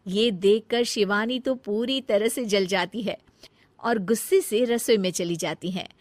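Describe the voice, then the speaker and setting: A woman narrating a cartoon moral story in a plain, even storytelling manner. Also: mid-range voice, measured pace, no echo.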